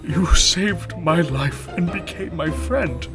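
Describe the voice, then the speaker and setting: A man voicing a vain, pompous male lion who speaks in a grand, drawn-out, melodramatic way. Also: on the high side, music in the background, voice pushed up. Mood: contrite. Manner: grand, drawn-out, theatrical delivery.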